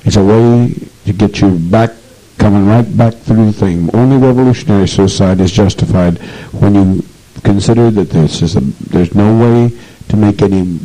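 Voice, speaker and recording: A man's voice: on the low side, moderate pace, warm tone, low-quality recording. A man leading a meeting, speaking intensely and repetitively in a preaching manner on an old archival tape. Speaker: group leader addressing a meeting. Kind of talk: commanding speech to an assembly.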